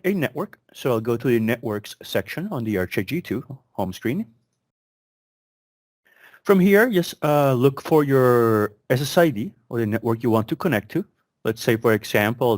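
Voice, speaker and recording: A man's voice: medium pitch, darkish tone, no echo, studio quality. A man giving an instructional product demonstration in a conversational, step-by-step explanatory manner. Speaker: product demonstrator, program manager. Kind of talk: instructional product walkthrough, semi-scripted.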